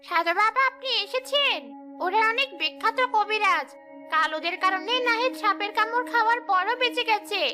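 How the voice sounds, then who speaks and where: A woman's voice: very high voice, moderate pace. A woman voicing a trusting, anxious village mother in a cartoon story, earnest and melodramatic. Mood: concerned, earnest. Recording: music bed under the dialogue.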